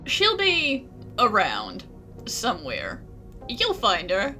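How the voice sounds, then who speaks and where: A woman's voice: medium pitch, huge pitch swings, slow pace, bright, forceful tone, soft background music. A woman giving casual, chatty, joking commentary as she plays through a video game. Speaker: gaming video host.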